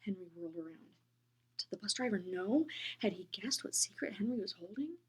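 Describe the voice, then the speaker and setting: A woman reading a children's book aloud, gentle and expressive, often dropping into a whisper. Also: mid-range voice, a little fast, breathy edge. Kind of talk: storybook read-aloud.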